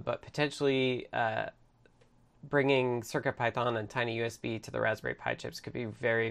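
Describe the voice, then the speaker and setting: A man's voice: medium pitch, vocal fry, a bit bright. A man hosting a casual online team meeting, passing the turn along and giving updates, sometimes reading from notes. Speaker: meeting host.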